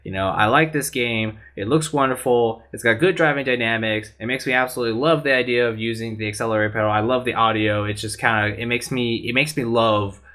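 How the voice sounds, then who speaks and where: A man's voice: mid-range voice, fairly even intonation, fast, few pauses, pushed and bright. A man on a gaming podcast panel, talking casually and earnestly, rambling with some restarts. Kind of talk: casual opinionated chat.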